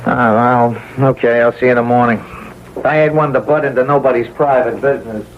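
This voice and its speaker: An actor playing a hard-boiled, bossy small-time crook in an old-time radio crime drama, with blunt streetwise talk. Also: male voice, mid-range voice, fairly even intonation, brisk, slight background noise, natural voice. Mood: guarded, casual. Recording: tinny narrowband old radio broadcast.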